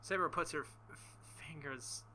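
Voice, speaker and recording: A man reading a visual novel aloud with casual commentary, halting and embarrassed, often breaking into nervous laughter. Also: fairly high pitch, pushed and bright, slight background noise. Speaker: let's play host.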